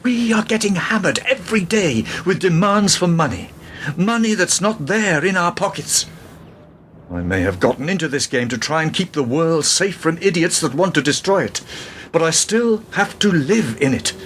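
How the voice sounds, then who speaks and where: Man acting an animated, high-voiced, embittered double agent. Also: normal speed, slight background noise. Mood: indignant, bitter. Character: defensive, money-troubled double agent, a bitter family man. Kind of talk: radio play acting.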